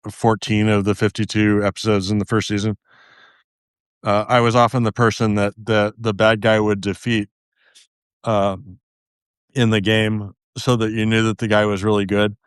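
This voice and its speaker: A man's voice: on the low side, smooth, no room sound, studio quality. A man guesting on a podcast, chatting casually in a self-deprecating way and laughing often at himself. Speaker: podcast guest, game designer. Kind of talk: casual interview chat.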